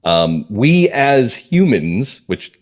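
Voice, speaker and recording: An American man talking as a guest writer in a conversational interview, explaining things reflectively and emphatically in short measured phrases. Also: medium pitch, sing-song. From northern Massachusetts, US. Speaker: guest playwright and comedy writer.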